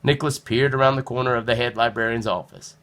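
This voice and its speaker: A man narrating a children's storybook in an expressive read-aloud style. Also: medium pitch, quick pace.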